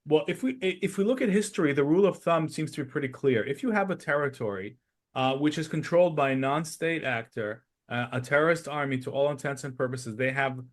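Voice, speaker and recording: Higher-voiced man giving political analysis. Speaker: podcast host and security analyst.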